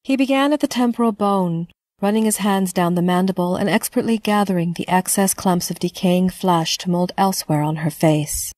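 A woman narrating a scripted horror story in a measured, dramatic storytelling manner. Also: on the low side, a little mellow, dry recording.

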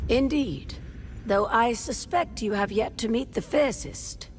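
A woman's voice: on the high side, unhurried, a little hiss or hum, natural voice. A woman playing a courteous, cryptic alien desert trader in a video game, speaking in formal, archaic phrases. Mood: courteous, cautionary. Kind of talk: video game character dialogue.

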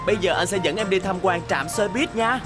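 A woman's voice: medium pitch, fairly quick, lightly acted. A woman voicing a friendly, boyish young city bus who talks like an encouraging big brother in a children's cartoon. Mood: cheerful. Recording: loud music behind.

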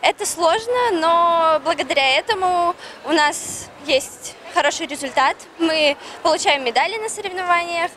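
A woman's voice: extremely high pitch, lively intonation, moderate pace, few pauses, a bit bright. A young woman gymnast answering an interviewer in a sports hall. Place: large indoor sports hall. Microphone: handheld reporter microphone. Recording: busy sports hall noise.

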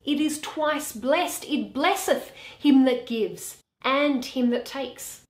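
A woman in her thirties playing an earnest young male lawyer, deliberate and persuasive, in a Shakespeare reading. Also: high-pitched. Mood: measured, earnest. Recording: echoey bare room.

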